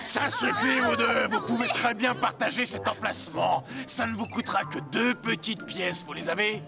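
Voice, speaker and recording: An actor voicing a gruff, greedy market overseer with a gravelly voice in a French cartoon. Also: male voice, sits high, moderate pace. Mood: demanding. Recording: music under the voice.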